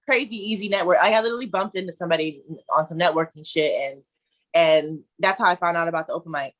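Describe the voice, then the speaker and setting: A woman's voice: lowish voice, quick pace, fairly bright tone. A woman in her twenties from Ohio, animatedly telling a story as a guest in a casual conversation.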